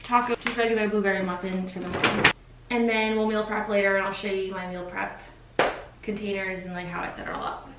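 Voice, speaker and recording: A woman's voice: medium pitch, steady tone, brisk. A young woman chatting casually and informally in short thinking-aloud phrases, as a lifestyle vlogger filming her day at home. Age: young adult. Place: apartment kitchen and rooms, indoors. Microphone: camera mic a few feet away. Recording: roomy, distant, noisy camera audio.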